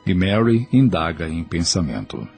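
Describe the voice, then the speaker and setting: A man's voice: low-pitched, big pitch swings, normal speed, vocal fry, darkish tone, slightly rough. A man narrating an audio drama from a script. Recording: music under the voice.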